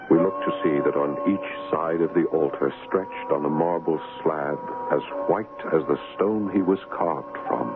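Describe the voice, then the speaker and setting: An actor playing a devoted American husband in a radio drama, speaking in an earnest, dramatic way. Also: male voice, very deep voice. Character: devoted American husband recounting a haunted tale. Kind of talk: old radio drama acting and narration. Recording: noisy, narrow old radio broadcast.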